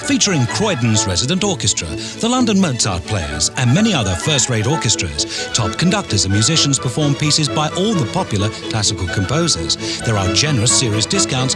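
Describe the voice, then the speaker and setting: Lively male advert voice-over with music. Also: medium pitch. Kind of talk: scripted promotional narration.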